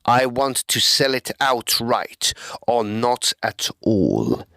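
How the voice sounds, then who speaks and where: A man's voice: mid-range voice, big pitch swings, takes it slowly, creaky, a bit bright, heavily acted. A man playing an evasive, nervous Edwardian house owner in an audio drama, guarded and formal. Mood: firm.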